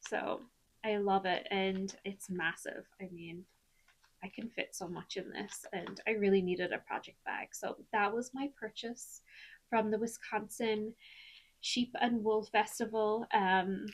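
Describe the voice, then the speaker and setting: Slow, chatty Irish woman. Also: mid-range voice, low-quality recording. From Ireland. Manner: relaxed, rambling, many ums and likes. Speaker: knitting vlogger. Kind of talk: chatty podcast-style vlog.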